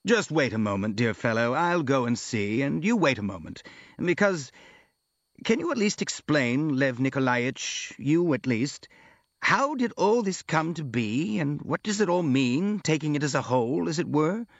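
A man's voice: fairly high pitch, big pitch swings, dry recording, highs cut off, pitched above the performer's own voice. A man voicing a flustered middle-aged general in an audiobook, a bewildered, fretting father who halts and repeats himself. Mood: agitated, bewildered. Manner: stammering, repetitive, halting.

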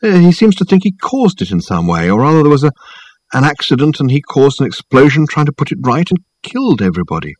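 A man voicing a bemused young gentleman in an audiobook, hesitant and puzzled, correcting himself as he goes. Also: fairly high pitch, very animated, quick pace, no echo. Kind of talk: audiobook character acting.

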